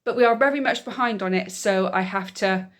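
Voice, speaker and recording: A woman's voice: medium pitch, a little fast. A woman, chatting casually in a weekly vlog, a bit nasal and bunged up from a cold. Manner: chatty, rambling, self-explaining. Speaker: vlogger, single mum.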